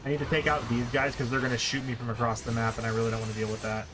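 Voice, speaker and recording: A man's voice: medium pitch, level tone, rapid-fire, noisy background. A man giving live video game commentary, thinking aloud and exclaiming in frustration as he plays. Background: video game music.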